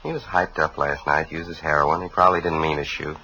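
A man's voice: very deep voice, steady tone, fairly quick, bright, forceful tone, exaggerated voice, low-quality recording. A man with an American accent playing an irritable, defensive bar owner in an old radio drama, curt and evasive. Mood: grudging, defensive. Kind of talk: 1950s radio drama acting. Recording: old radio recording, faint noise.

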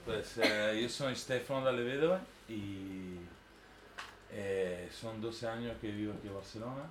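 A man's voice: on the low side, measured pace. A man in his thirties with an Italian accent, native to northern Italy, gives an informal, unscripted personal talk. He rambles and hesitates, often checking for agreement as he goes. The room echoes around him, with a faint layer of noise behind. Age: 30s. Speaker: artist giving a talk.

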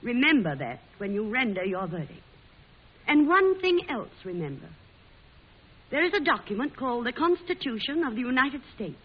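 An actress playing a defiant, fearless newspaper publisher in an old-time radio drama, with emphatic delivery. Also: female voice, on the high side, sing-song, moderate pace, breathy edge. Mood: defiant, solemn. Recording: hissy, muffled old radio broadcast recording.

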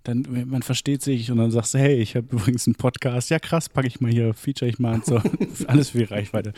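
A man's voice: mid-range voice, a little fast, mellow, no echo, studio quality. A young man co-hosting a podcast, chatting casually, rambling and joking with frequent laughs. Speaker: podcast co-host. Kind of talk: casual banter.

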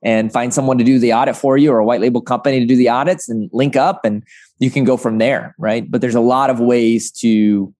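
A man's voice: mid-range voice, steady tone, a little fast, darkish tone, dry recording. Male interview guest, conversational advice-giver who punctuates points with "right?", close dry recording. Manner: frequent "right?" tag questions. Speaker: interview guest, agency founder.

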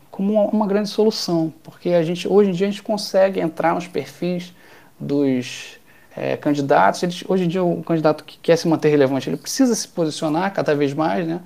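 A man's voice: a little high, vocal fry, darkish tone. A man with a Carioca accent, a political candidate answering interview questions with many hesitations. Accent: Carioca Portuguese. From Rio de Janeiro, Brazil. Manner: hesitant, many fillers and restarts. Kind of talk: interview conversation.

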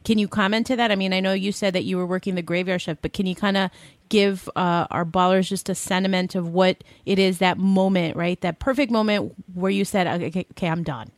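A woman co-hosting a podcast, enthusiastic and halting as she introduces and questions a guest. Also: lowish voice, fairly even intonation, no room sound. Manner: enthusiastic, halting, many fillers. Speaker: podcast co-host. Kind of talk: casual interview hosting.

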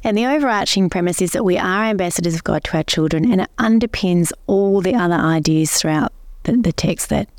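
A woman co-hosting a faith podcast, explaining points at length in a steady way. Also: on the low side, fairly quick, no room sound, studio quality. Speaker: podcast co-host, pastor. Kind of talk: casual faith podcast chat.

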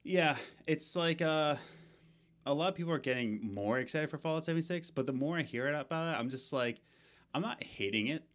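A man's voice: on the high side, brisk, fairly bright tone. A young man co-hosting a casual gaming podcast, rambling with lots of fillers and restarts. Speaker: podcast co-host.

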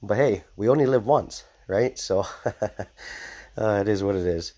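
Male vlogger, somewhat low voice, congested from a cold, loose rambling delivery. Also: unhurried, warm tone. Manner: loose and rambling, many ums and tag questions. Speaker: off-grid homesteading vlogger. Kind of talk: casual video update.

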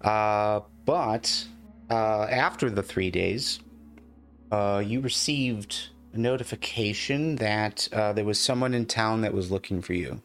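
A man improvising tabletop game narration as game master, speaking haltingly and often repeating words. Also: on the low side, soft background music.

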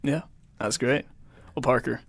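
A man's voice: medium pitch, measured pace, gravelly, no echo. A young man hosting a casual podcast, upbeat and enthusiastic as he questions his guests. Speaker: podcast host.

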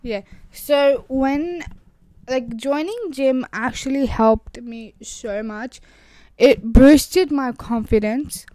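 A woman's voice: high-pitched, slow pace, warm tone, no echo, rough recording. A young woman whose first language is Urdu, speaking with an Urdu accent in a rambling solo podcast talk full of "like" fillers and restarts. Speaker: podcast host. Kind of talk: casual solo podcast monologue.

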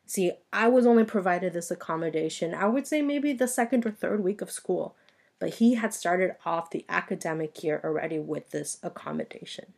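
A woman in her twenties whose first language is Spanish hosts her own podcast. She tells personal stories in an earnest way and often speaks straight to her listeners. Her voice sits a little low. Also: animated, normal speed, creaky, a little mellow. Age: 20s. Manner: earnest personal storytelling, addresses listeners directly. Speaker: podcast host. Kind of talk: solo podcast monologue.

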